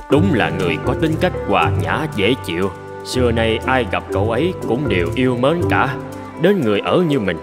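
Man doing polite old servant guy in a cartoon, very respectful, music loud behind. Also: fairly low pitch. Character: humble, deferential old male servant. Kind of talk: dubbed cartoon storytelling.

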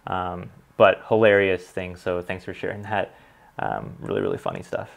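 A man in his thirties with a Canadian accent, chatting casually as a channel host, relaxed and full of ums as he reads comments and tastes whiskey. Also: deep voice, normal speed, gravelly. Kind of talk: casual vlog, reading comments and tasting. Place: small home studio with bottles on a desk.

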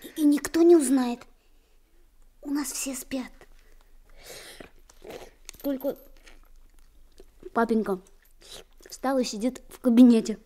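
A woman's voice: high voice, slow pace, long pauses, warm tone. A woman playing a kind, curious little boy in a radio drama, earnest and innocent. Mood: friendly. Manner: innocent, earnest, simple questions. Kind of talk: Soviet radio drama acting.

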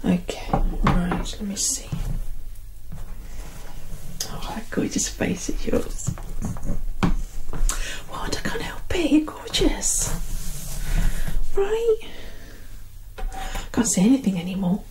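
A British woman doing an ASMR roleplay as a soothing, affectionate mother, reassuring in soft close-up speech. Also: a little high, huge pitch swings, takes it slowly, long pauses, poor audio. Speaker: ASMR performer playing a mother. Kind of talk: ASMR roleplay, whispered and soft-spoken personal attention.

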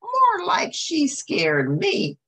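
Woman putting on an animated, playful male dog. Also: very high voice, extremely slow, voice pushed up, exaggerated voice. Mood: startled. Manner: exclamatory, folksy. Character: playful male dog, big, good-natured and easily startled.

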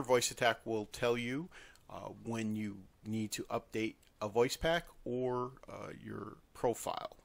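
A man giving an unscripted screen-recorded software tutorial, hesitating often as he explains each step. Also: mid-range voice, gravelly, no room sound.

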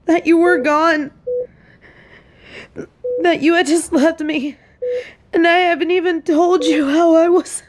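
A woman playing a stern, fiercely protective secret agent secretly in love, in an intimate, emotional audio roleplay. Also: extremely high pitch. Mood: anguished confession. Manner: slow, emphatic, emotionally intense delivery.

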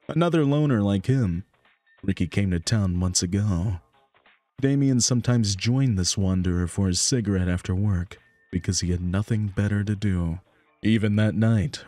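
A man's voice: lowish voice, darkish tone, no room sound. A man reading scripted story narration for a visual novel. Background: background music.

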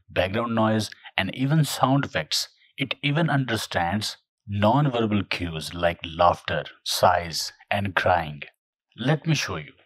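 A man narrating a software tutorial in a steady, scripted, explanatory way. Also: on the low side, slightly rough, dry recording.

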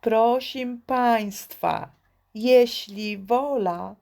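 A woman reading a children's poem expressively, with theatrical, deliberate phrasing and pauses. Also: fairly high pitch, gravelly, darkish tone. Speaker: reader.

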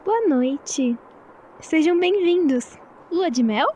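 An actress with a Brazilian accent playing a polite, apologetic receptionist in an audio drama. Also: female voice, very high-pitched, animated, slow pace, darkish tone, a little hiss or hum, exaggerated voice.

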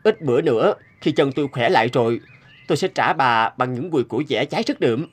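A man's voice: mid-range voice, lively intonation, fast, husky. A man playing a poor, forgiving wandering woodcutter, polite and earnest, in a fairy-tale cartoon. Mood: weary, pleading. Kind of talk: animated fairy-tale dubbing.

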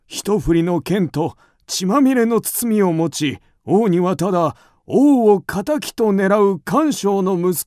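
Casting: ancient court minister for radio drama, formal and servile, unhurried, dry close sound. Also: male voice, high-pitched, darkish tone, no room sound. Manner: formal, deferential, theatrical. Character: dutiful, easily alarmed royal chancellor of ancient China. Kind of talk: Japanese radio drama acting.

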